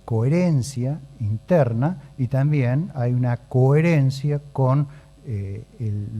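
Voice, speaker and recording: Argentine man giving a measured lecture. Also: mid-range voice, warm tone. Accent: Argentine Spanish. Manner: measured, methodical, frequent "eh" pauses. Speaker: academic speaker at a round table. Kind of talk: formal academic lecture.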